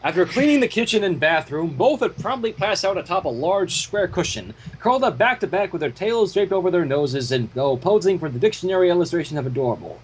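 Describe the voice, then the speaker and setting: A man reading fan fiction aloud in a theatrical storytelling style, with casual asides and a husky voice. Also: high-pitched, expressive, brisk, hardly any pauses, a little hiss or hum, low-quality recording.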